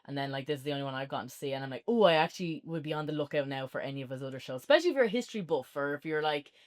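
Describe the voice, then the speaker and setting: A woman's voice: deep voice, expressive, rapid-fire. A woman with an Irish accent co-hosting a casual, unscripted review, chatting informally and often saying "like". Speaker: podcast co-host and reviewer.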